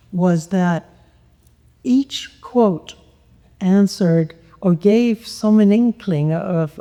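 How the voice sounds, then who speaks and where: Chatty woman with a loud voice, hall reverb. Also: fairly low pitch. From Canada. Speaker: workshop reporter. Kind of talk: conference report.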